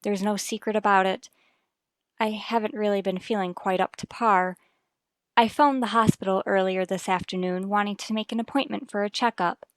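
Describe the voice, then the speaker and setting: A woman's voice: medium pitch, fairly even intonation, moderate pace, no room sound, studio quality, lightly acted. A woman playing a troubled, guarded wife and mother in an old-time radio soap, restrained and evasive in manner. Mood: guarded, weary.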